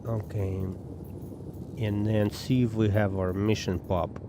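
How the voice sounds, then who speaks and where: An Armenian-accented man with a fairly low voice streams a game and chats loosely with his audience, circling back over his words as he thinks. His voice sits over clear game noise. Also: little pitch movement, takes it slowly. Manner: rambling, repeats words, talks to chat. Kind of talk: casual live-stream chat while gaming. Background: game sounds.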